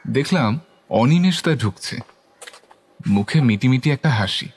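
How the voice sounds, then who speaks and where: An actor playing a curious young club member who narrates a ghost story in the first person, with scripted dialogue. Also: male voice, mid-range voice, lively intonation, unhurried, a little mellow, husky. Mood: observant, curious.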